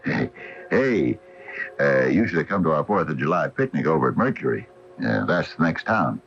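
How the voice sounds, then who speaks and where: A very deep, animated small-town storekeeper, gallant and teasing. It is radio drama from 1980, heard through a dull AM broadcast with faint hiss. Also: male voice, moderate pace, heavily acted. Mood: uneasy, coaxing.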